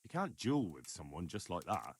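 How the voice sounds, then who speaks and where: A man's voice: deep voice, very animated, moderate pace, husky, heavily acted. A man playing a confident, persuasive young medieval man in a video game, talking matter-of-factly. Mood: dry, coaxing. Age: young adult. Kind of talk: video game dialogue acting.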